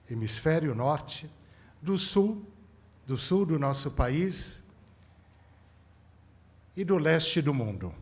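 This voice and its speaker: Brazilian male rabbi, mid-pitched, formal congregational introduction, measured and ceremonious delivery. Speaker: rabbi introducing a guest speaker.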